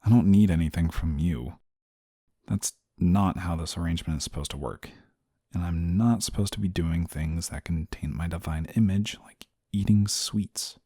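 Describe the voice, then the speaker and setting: A man's voice: deep voice, normal speed, warm tone, no echo, clean studio sound. A man playing a stern, easily flustered guardian angel in a scripted audio roleplay, speaking formally and with prim reserve. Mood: reluctant, flustered. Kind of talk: scripted audio roleplay, intimate one-sided dialogue.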